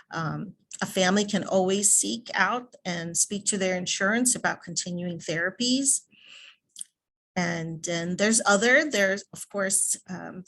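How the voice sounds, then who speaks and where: A woman's voice: lowish voice, a bit bright, a little hiss or hum. A woman presenting in a webinar, explaining options to families with occasional fillers. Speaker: early childhood transition presenter. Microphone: video call.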